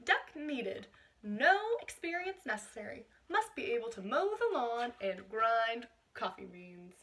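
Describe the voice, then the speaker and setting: Bright, very animated woman reading to children. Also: fairly high pitch, measured pace, husky. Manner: expressive, quizzing young listeners. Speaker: teacher reading a picture book aloud. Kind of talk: animated children's read-aloud with explanatory asides.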